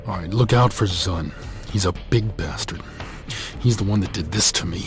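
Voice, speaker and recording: An actor playing a battered, talkative captive agent in a video game, shaken and rambling with exclamations. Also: male voice, low voice, moderate pace, music in the background, lightly acted. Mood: anxious, grateful.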